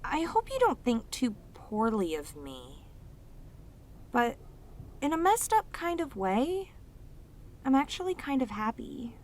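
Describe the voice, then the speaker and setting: A woman's voice: on the high side, wildly swooping pitch, takes it slowly, pauses often, darkish tone, slight background noise, heavily acted. A woman voicing a lonely, sweet, possessive demoness in an intimate roleplay audio drama, speaking tenderly and hesitantly to the listener. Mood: lonely, wistful. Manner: tender, hesitant, slightly stammering, clingy, emotive. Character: lonely, sweet, possessive female demon, sealed away, obsessively devoted.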